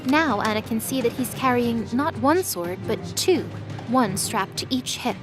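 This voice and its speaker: A woman narrates a scripted drama. Her voice is on the high side, with a measured storytelling delivery. Music plays clearly behind her. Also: expressive.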